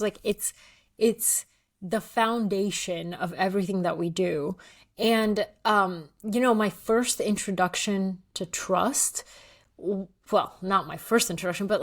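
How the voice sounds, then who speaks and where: A woman in her thirties, originally from Bulgaria, talking casually as an author guest, full of likes, ums and restarts, laughing often. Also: medium pitch. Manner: chatty, laughs often, many fillers and restarts. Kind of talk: casual interview conversation.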